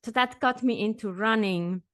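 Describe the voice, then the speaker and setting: A middle-aged woman who grew up in Switzerland, a podcast guest telling her story in an animated, upbeat way. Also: on the high side, moderate pace, no echo, clean studio sound. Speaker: podcast guest, executive coach. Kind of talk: conversational interview.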